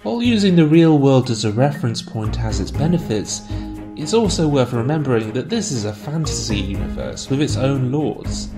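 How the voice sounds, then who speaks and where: A man with a mid-pitched voice narrates a scripted explainer. His delivery is measured and analytical, like a host laying out an argument step by step. Music plays clearly behind him. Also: sing-song. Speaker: video essayist, host. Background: background music.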